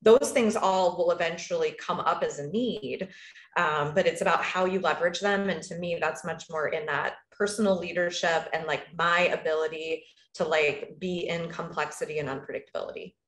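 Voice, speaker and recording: A woman's voice: on the low side, normal speed. Thoughtful woman on an echoey video call. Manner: thoughtful, many fillers like "like" and "right?". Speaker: panelist, agile coach. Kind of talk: casual panel discussion.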